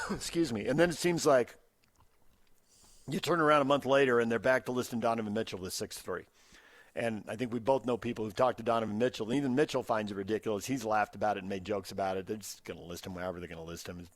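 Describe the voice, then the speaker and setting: A man's voice: on the low side, fast, dry recording. A man working as a sports radio host, moving between conversational talk and emphatic station announcing.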